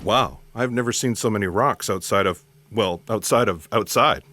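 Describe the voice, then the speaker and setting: An earnest special agent in sci-fi comedy theatre. The voice is on the low side and dryly recorded. Delivery is polite and deadpan, taking everything literally. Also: male voice, normal speed, dry recording. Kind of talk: comic sci-fi audio theatre acting.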